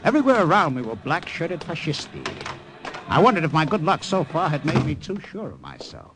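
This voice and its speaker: An actor playing an American undercover agent, narrating earnestly and stammering in dialogue, in 1940s radio style. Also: male voice, a little high, big pitch swings, a little fast, background noise.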